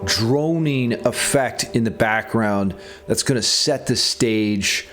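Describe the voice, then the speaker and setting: A man's voice: mid-range voice, slow pace, fairly bright tone. Man teaching music theory, music behind. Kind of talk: guitar music theory lesson with scripted dramatic intro then casual explanation.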